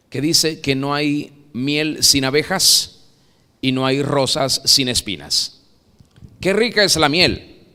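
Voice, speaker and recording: A Venezuelan man preaching a sermon in a church, building to loud dramatic peaks and then dropping soft. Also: medium pitch. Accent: Venezuelan Spanish. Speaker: pastor. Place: church sanctuary. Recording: long reverb of a church hall.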